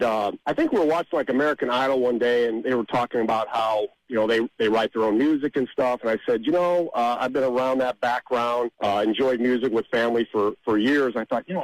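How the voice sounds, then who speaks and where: A man's voice: mid-range voice, dry recording, low-quality recording. A middle-aged or older man, an interview guest, talking casually in a rambling, anecdotal way. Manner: informal, rambling storytelling. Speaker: interview guest, amateur songwriter.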